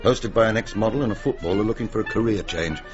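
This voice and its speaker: A man's voice: fairly low pitch, quick pace, soft background music, close to an everyday voice. Wry middle-aged British man, slightly muffled actor's performance. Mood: world-weary. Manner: wry, world-weary, chatty. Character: wry, disillusioned middle-aged male gag writer drinking in pub. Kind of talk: naturalistic radio comedy drama acting.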